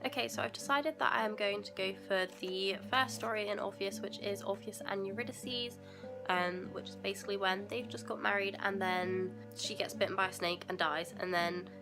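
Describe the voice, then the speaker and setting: A woman's voice: medium pitch, fairly even intonation, moderate pace, over music. A young woman from England, a university student, chatting casually in a rambling way with a stuffy nose from a cold, at home. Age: young adult. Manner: chatty and rambling, many fillers and asides. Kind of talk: casual vlog chat. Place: at home indoors.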